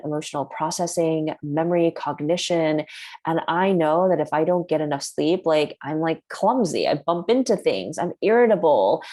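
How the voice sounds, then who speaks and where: A woman's voice: sits low, warm tone. A woman whose first language is Chinese, hosting a workshop in a chatty way, with lots of "like". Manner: chatty, heavy use of "like". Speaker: workshop host. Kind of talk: casual workshop talk.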